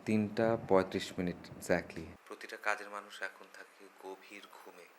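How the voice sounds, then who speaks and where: An actor playing a mature, exacting man in a Bangla television drama, speaking in a measured, halting, pedantic way. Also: fairly low pitch, level tone, a little hiss or hum, lightly acted. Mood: annoyed, dry. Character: mature, exacting, reluctantly charmed man woken at night.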